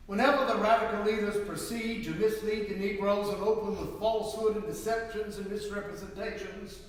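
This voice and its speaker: A man playing a zealous, indignant 1870s Southern newspaperman in a dramatic historical reading, with emphatic oratorical delivery. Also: sits high. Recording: very echoey hall, distant microphone.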